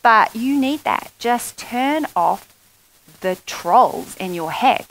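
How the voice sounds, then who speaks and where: A woman's voice: a little high, animated, slow pace, rough recording. A woman speaking on a festival panel, thoughtful and often restarting her sentences. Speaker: panelist, columnist. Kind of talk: casual panel discussion. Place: festival stage.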